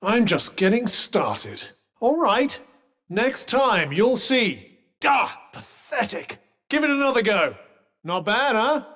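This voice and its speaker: A British actor voicing a witty, haughty magical cuff in a video game, speaking in a dry, wordy, formal way. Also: male voice, very high voice, big pitch swings, slow pace, bright, forceful tone, in a large hall, heavily acted. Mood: wry, polite, then urgent, exasperated.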